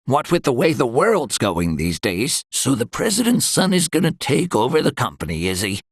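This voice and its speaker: A man's voice: medium pitch, wildly swooping pitch, normal speed, creaky, slightly rough, no room sound, studio quality, heavily acted. An actor playing a folksy, musing townsman in a game, sceptical yet hopeful.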